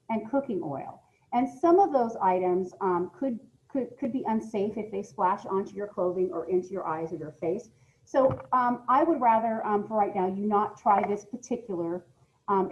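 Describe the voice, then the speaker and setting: Lively woman teacher reading aloud. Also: mid-range voice. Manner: instructional, emphatic, posing questions to listeners. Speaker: schoolteacher reading a lesson aloud. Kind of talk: read-aloud science lesson with asides to children. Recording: dull, lo-fi audio.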